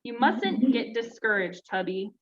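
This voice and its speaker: A woman voicing a strict but encouraging female owl schoolteacher in a storybook, brisk and instructive. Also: on the high side, expressive. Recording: dull lo-fi sound, clear background noise.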